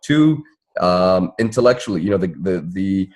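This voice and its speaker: A man with a deep, husky voice talks on a town hall panel. He gives earnest, exhorting advice, now and then repeating a word before moving on. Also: expressive, normal speed, a little mellow. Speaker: panelist, civil rights attorney and activist.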